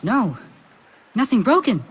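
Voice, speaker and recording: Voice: medium pitch, sing-song, a little slow, husky, big-room sound, exaggerated voice. A performer voicing a bold, eager, cocky young boy in a video game. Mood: eager, proud. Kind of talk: video game dialogue acting.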